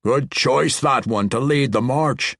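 A man's voice: mid-range voice, takes it slowly, creaky, fairly bright tone, no echo, studio quality, voice pushed up, full character voice. A man voicing an angry male wild dwarf commander with a thick, grumbling brogue. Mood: grudging.

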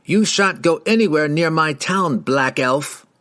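A man's voice: mid-range voice, lively intonation, unhurried, voice pushed up, natural voice. A man voicing a haughty, hostile patrol rider, with pompous, sneering and archaic speech in a fantasy audiobook. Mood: commanding.

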